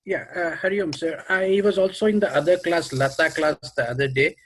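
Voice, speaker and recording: A man's voice: fairly high pitch, fairly quick, fairly bright tone, a little hiss or hum. A man from Hyderabad introducing himself politely as a student on a video call. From Hyderabad, India. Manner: polite, respectful.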